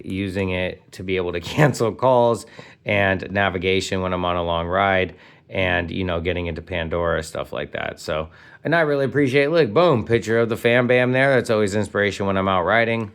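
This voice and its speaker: Man, slightly deep voice, informal hands-on review, enthusiastic, slangy, punchy delivery. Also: normal speed, clear, resonant tone. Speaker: product reviewer and host. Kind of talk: casual hands-on gadget review.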